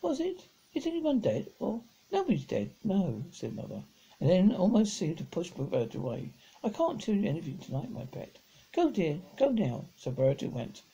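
Man, middle-aged, very husky and raspy, mellow dark tone, halting storybook reading, stumbles and restarts. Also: sits high, rough recording. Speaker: amateur storybook reader. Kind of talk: reading a children's novel aloud. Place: indoors at home, seated on a sofa.

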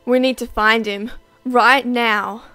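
A woman's voice: fairly high pitch, fairly even intonation, takes it slowly, bright, forceful tone, dry recording, faint music behind. A woman voice acting a questioning, determined girl on a spaceship in an amateur game roleplay.

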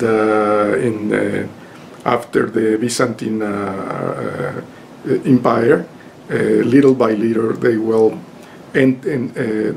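Older Mexican-accented man, unhurried, gravelly voice, halting webcam lecture from home. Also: medium pitch, darkish tone, a little hiss or hum. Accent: Mexican Spanish. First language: Spanish. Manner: halting, many fillers and restarts. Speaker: lawyer and lecturer. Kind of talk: informal spoken lecture to camera. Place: home study with bookshelves. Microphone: webcam at desk.

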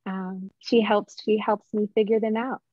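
A young woman, a playwright, answering thoughtfully in an interview on a video call, with measured pauses and dull audio. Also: medium pitch, level tone, mellow, dry recording. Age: young adult. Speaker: playwright guest.

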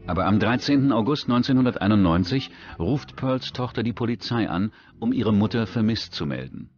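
Male narrator for true-crime documentary, low register, scripted delivery over a music bed. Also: expressive, fairly quick, a little mellow, highs cut off.

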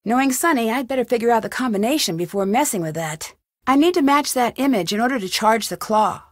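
A woman's voice: on the high side, expressive, fairly quick, no echo, clean studio sound. An actress playing a curious, upbeat young woman detective in an adventure game, thinking aloud in short scripted remarks. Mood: thoughtful.

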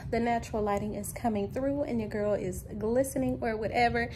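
A woman in her thirties chatting casually to her viewers in a vlog, filmed on a phone at arm's length in a parked car, with animated, folksy asides. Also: a little high, a little fast, a little hiss or hum. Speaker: vlogger. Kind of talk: casual vlog chat to camera. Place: parked car, then home room. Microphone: phone camera at arm's length.

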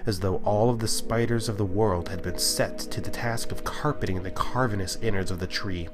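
A man reading a scripted narrative poem aloud in a theatrical storytelling manner. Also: fairly low pitch. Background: music.

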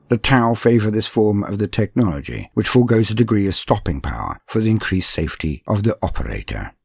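A man reading scripted fictional lore as a narrator, with a measured, formal and dramatic delivery. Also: fairly low pitch, a little mellow, slightly rough. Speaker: narrator and host of a science fiction lore guide. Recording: clean voice-over over faint music. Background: faint background music.

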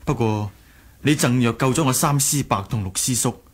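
Man playing a gentle, husky young hero. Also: medium pitch, moderate pace, lightly acted. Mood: sincere. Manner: mild, hesitant, sincere. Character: gentle, earnest, kind-hearted young martial-arts hero. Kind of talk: Cantonese radio drama acting, 1980s.

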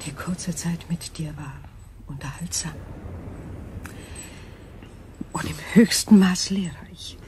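An actress playing a calm, ancient, knowing alien being in a German sci-fi radio play, hushed and measured, detached and formal. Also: female voice, fairly low pitch, whispered, close to an everyday voice. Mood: quiet, wistful.

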